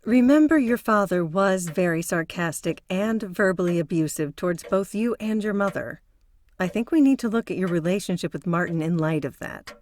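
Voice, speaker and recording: Measured, lower-voiced actress as female therapist. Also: natural voice. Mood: calm, probing. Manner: calm, probing, analytical. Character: measured, probing female psychotherapist. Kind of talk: audio drama dialogue.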